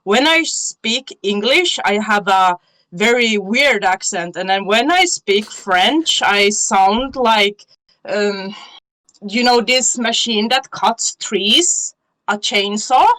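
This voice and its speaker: A woman from Finland with a strong Finnish accent, chatting as a guest, giggling often and pausing to search for words. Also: mid-range voice, lively intonation, a bit bright, dry recording, rough recording. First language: Finnish-Swedish bilingual. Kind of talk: casual interview chat.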